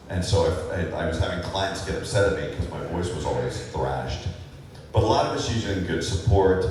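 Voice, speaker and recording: A man's voice: low voice, darkish tone, a little hiss or hum. A man answering fan questions as a voice actor on a convention panel, casual and rambling, with lots of fillers and chuckles. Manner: rambling, laid-back, many fillers and chuckles. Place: large convention hall. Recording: echoey hall recorded from far off.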